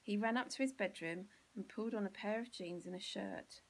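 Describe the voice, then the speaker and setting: Husky English woman reading a children's story. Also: medium pitch, brisk.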